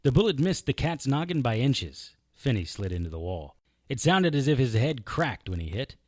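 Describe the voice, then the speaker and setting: A hardboiled private eye in a radio drama. He is deadpan and terse, with a mid-range voice that still moves around in pitch. The recording is close and dry. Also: normal speed, no room sound. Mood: grim narration. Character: dry, world-weary male private investigator hero. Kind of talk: radio drama acting and hardboiled first-person narration.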